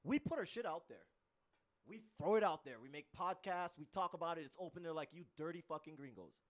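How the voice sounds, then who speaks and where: Brief: male podcast host, lively up-and-down voice, slightly high, blunt and profane. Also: a little fast. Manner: animated, cursing, cuts in often. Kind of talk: casual podcast chat. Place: live podcast in a room.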